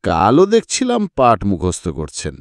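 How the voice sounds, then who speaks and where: A man's voice: lowish voice, wildly swooping pitch, moderate pace, no room sound, clean studio sound. A man voicing a chatty, grumbling male private secretary in conversational, explanatory audiobook dialogue. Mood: hesitant, confiding.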